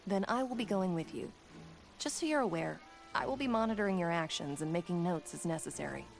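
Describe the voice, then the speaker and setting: A woman's voice: on the low side, expressive, a little fast, creaky. A woman playing a stern, formal female guard officer in a game. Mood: curt. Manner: curt, formal. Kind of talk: game cutscene voice acting. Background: game music.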